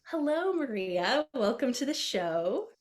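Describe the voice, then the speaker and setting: A woman's voice: a little high, big pitch swings, slow. A woman with an American accent hosting a podcast interview in a casual, conversational way.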